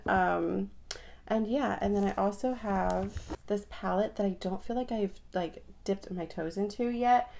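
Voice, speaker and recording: Raspy-voiced young woman, chatty morning talk. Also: medium pitch, warm tone, slight background noise. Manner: chatty, rambling, filler-heavy. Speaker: beauty vlogger. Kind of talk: casual get-ready-with-me chat.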